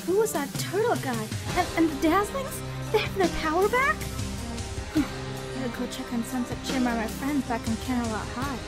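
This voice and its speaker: A woman's voice: high-pitched, normal speed, natural voice. A woman voicing a young, earnest and anxious pony princess in an amateur audiobook. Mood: uneasy musing. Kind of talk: amateur audiobook character acting. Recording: loud music behind the voice.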